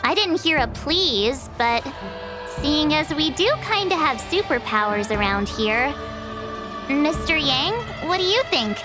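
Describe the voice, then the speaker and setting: A woman's voice: high-pitched, animated, unhurried, music in the background. A woman playing a sassy, casual young female adventurer in a video game, playful and drawling. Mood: grudging, cheeky. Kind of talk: scripted video game cutscene acting.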